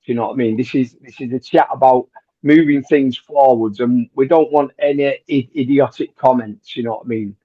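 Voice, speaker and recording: A man's voice: medium pitch, steady tone, a little fast, mellow. A man hosting a podcast, talking casually with lots of hesitations and repeated words. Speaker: podcast host. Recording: dull lo-fi audio.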